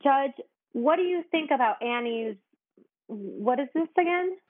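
A woman's voice: high-pitched, animated, unhurried, narrow, tinny audio. A woman playing a nervous cooking show host who stammers and tries to please everyone. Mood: flustered. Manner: flustered, stammering, eager to please. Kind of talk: comedy sketch acting.

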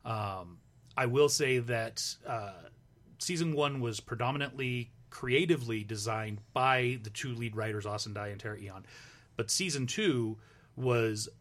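A man's voice: medium pitch, unhurried, a bit bright. Man in his forties, teasing radio guest. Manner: teasing, dry humor. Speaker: showrunner and guest. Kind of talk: casual radio interview. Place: radio studio.

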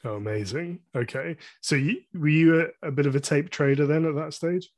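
A man hosting a podcast interview, enthusiastic and full of quick reactions. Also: a little high, a little mellow, no room sound, studio quality. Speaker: podcast host. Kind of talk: casual interview.

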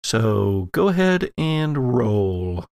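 A man narrates a tabletop horror game as its game master. His pace is slow and his voice has a gravelly creak. He breaks his phrases up for dramatic weight. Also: on the low side, big pitch swings, a little mellow.

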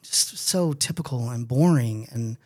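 A man's voice: mid-range voice, unhurried, darkish tone, dry recording, clean studio sound. A man in his fifties co-hosting a podcast in casual comedic chat, opinionated, rambling and freely swearing. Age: 50s. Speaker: podcast co-host.

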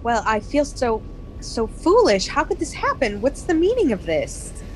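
A woman playing a flustered, embarrassed space colonist in a classic radio play, breathless and halting. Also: a little high, wildly swooping pitch, a little hiss or hum, low-quality recording. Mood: alarmed.

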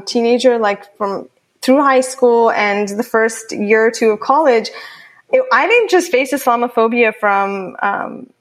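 Young woman, fairly high voice, halting, filler-heavy casual talk. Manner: halting, many fillers and restarts. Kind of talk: casual discussion.

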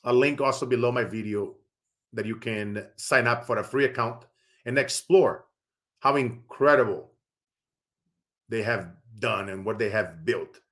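A middle-aged man from Brazil with a Brazilian Portuguese accent, hosting a podcast and giving a persuasive, preachy pitch with many fillers. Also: a little slow, long pauses, fairly bright tone. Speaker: podcast host. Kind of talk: motivational podcast monologue with sponsor plugs.

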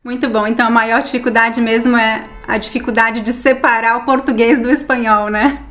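A woman who teaches Portuguese hosts an interview, asking friendly, easygoing questions. Her voice sits a little high for a woman. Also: flat delivery, brisk, over music. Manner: friendly, asks questions with easy laughs. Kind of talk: casual interview chat.

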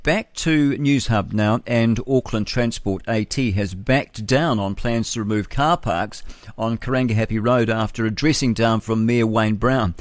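A male radio host with a lowish voice, reading out news stories and adding his own casual remarks. The sound is close and dry, a studio mic with no room around it. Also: no echo. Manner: chatty, reads news with offhand asides and fillers.

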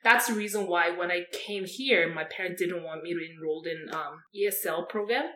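Young Korean-accented woman guest, halting and frank, laughs easily; roomy echo. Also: lowish voice, a bit bright. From South Korea. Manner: halting, laughs often, plain-spoken. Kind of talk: casual podcast chat. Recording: echoey room with faint noise.

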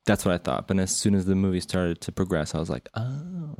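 A man with a low voice, recorded dry and close, chats about films as a podcast co-host. He rambles conversationally, hedging and rephrasing his opinions as he thinks. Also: sing-song, fairly quick, a little mellow, no room sound. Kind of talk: casual film review chat.

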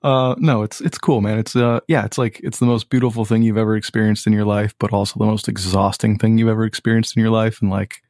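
Low, gravelly-voiced laid-back man. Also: flat delivery, fast talker, hardly any pauses, darkish tone, dry recording, studio quality. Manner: laid-back, rambling with many fillers. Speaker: musician guest. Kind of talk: casual podcast chat.

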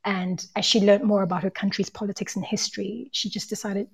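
A woman's voice: medium pitch, little pitch movement, a little fast, gravelly, husky. A woman podcaster explaining things thoughtfully in a conversational panel discussion.

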